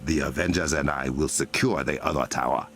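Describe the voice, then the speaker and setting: A man's voice: rumbling low, fairly even intonation, brisk, vocal fry, fairly bright tone, heavily acted. A man playing a proud, stern warrior king in a video game, speaking in a formal, commanding manner. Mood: resolute. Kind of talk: video game cutscene dialogue.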